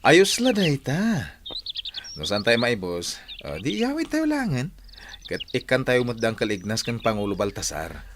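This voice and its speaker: Lively, playful young brother-in-law. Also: male voice, medium pitch, a little slow, background noise. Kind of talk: radio drama acting.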